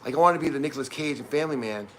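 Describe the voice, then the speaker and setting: A man's voice: on the high side, very fast, a bit bright. A man in his fifties talks loosely on a home livestream and keeps restarting his sentences. He is in a kitchen, with faint household noise behind him. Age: 50s. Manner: rambling, with many restarts and asides. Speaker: livestream co-host. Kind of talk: casual livestream chat.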